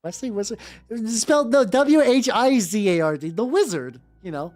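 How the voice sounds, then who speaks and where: A woman's voice: a little high, expressive, moderate pace, a little mellow. A woman streamer with a playful, joking manner, chatting casually and reading fanfiction aloud for comic effect. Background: background music.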